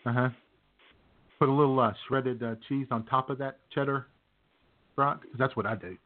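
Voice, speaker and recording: A man's voice: mid-range voice, fairly even intonation, normal speed. A man hosting a radio show with casual, folksy, rambling comedic banter. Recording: tinny phone-like sound, faint noise.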